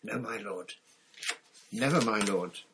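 A man voicing a fearful, fawning servant, whimpering and breathy, moaning and pleading in an audiobook story. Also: fairly low pitch, slow, background noise. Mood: awed, fawning.

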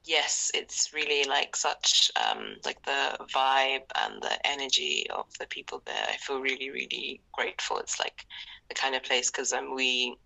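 A young woman from Zimbabwe, talking reflectively as a podcast guest, with many fillers and often trailing into breathy near-whispers. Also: a bass voice, animated, measured pace, bright, forceful tone, poor audio. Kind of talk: casual interview conversation.

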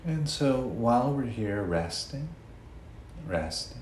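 A man leading a guided meditation in a gentle, unhurried way, leaving long pauses between phrases. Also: medium pitch, animated. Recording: roomy echo, faint background noise.

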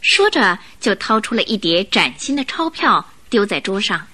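A woman narrating a Mandarin radio drama as an expressive storyteller of a detective tale. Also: mid-range voice, normal speed.

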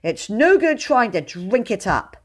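A woman's voice: on the low side, big pitch swings, unhurried. A woman voicing a bossy, swaggering small boy in a storybook, with a dramatic, self-important manner. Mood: stern. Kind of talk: storybook character voice.

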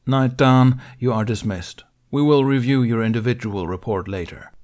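A man's voice: mid-range voice, normal speed, a little mellow, close to an everyday voice. A man voicing a stern senior warrior-monk who lists charges in a clipped, formal, reproachful way in an audiobook reading. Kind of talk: audiobook reading of fan fiction.

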